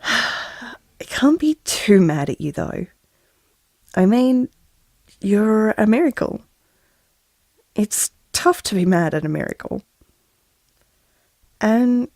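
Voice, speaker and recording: Audio drama expectant mother, tender and wry, unhurried, lively pitch. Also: creaky, no room sound, full character voice. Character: pregnant woman, tender, wry, talking to unborn baby. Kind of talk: intimate audio drama monologue.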